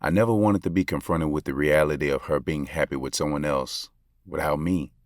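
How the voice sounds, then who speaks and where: A man's voice: rumbling low, fairly quick, dry recording, clean studio sound. A male actor with an American accent playing a lovestruck, regretful basketball coach who confides in listeners in slangy, conversational audio drama narration. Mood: earnest, confiding. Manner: slangy, confessional, intimate. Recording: music playing under the voice. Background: music.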